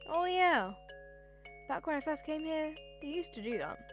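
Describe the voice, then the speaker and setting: A woman's voice: high voice, expressive, moderate pace, soft background music. A woman voicing an earnest, curious, upbeat young boy in an amateur comic dub. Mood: cheerful, matter-of-fact.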